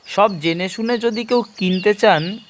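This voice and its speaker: A man narrating a first-person horror story dramatically, in measured, expressive phrases with deliberate pauses. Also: high-pitched, a little hiss or hum.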